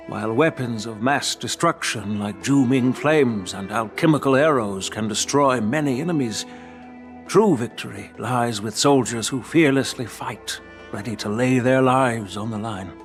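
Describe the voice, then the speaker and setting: An actor playing a wise, dignified old master swordsmith in a video game, talking in a measured, courteous, reflective way. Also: male voice, medium pitch, close to an everyday voice. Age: older. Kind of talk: dramatic video game voice acting.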